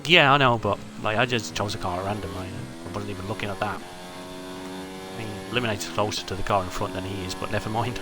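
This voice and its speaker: A man's voice: low voice, fairly even intonation, fairly quick, over music. A man giving live, chatty commentary on an online racing league, joking about the drivers and reading out chat messages. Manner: chatty, wry, reads chat aloud.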